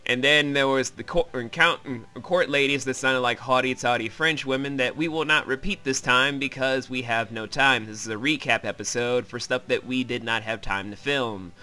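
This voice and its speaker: Bright, clear-voiced man recapping a game. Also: medium pitch, fairly even intonation, moderate pace, hardly any pauses. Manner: wry, sweary, rambling. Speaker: let's play host. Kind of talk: casual visual novel commentary and recap.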